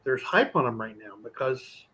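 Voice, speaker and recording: A man hosting a casual livestream chat about coins, animated and talking fast in bursts. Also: medium pitch. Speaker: livestream host.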